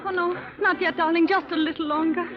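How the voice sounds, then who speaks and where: An actress playing an anxious, submissive young wife in melodramatic 1930s radio style, on a muffled old recording. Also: female voice, extremely high pitch, fairly even intonation, brisk, music in the background. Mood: pleading, weary. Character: anxious, submissive young wife hiding dread from her husband.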